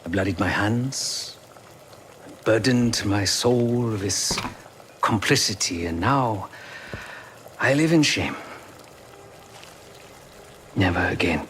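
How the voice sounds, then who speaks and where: A man playing a remorseful, pacifist former enforcer in a video game cutscene, speaking in formal, measured, philosophical phrases. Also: lowish voice, fairly bright tone, slight background noise. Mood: ashamed.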